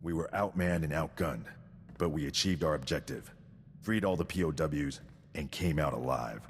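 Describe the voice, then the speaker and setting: An actor playing a stern, dutiful sergeant in a video game, speaking formally and precisely, coldly devoted to victory. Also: male voice, rumbling low, normal speed, in a large hall, slight background noise. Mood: stoic. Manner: formal, precise, recites statistics. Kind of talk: scripted video game acting.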